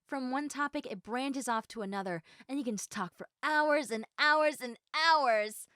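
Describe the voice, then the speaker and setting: A woman's voice: sits high, moderate pace, a bit bright, clean tone, dry recording, clean studio sound. A woman playing a quirky, socially awkward, chatty young woman eager for friends, rambling and self-deprecating straight to the listener in an audio roleplay. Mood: playful, rambling. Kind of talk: one-sided audio roleplay addressed to the listener.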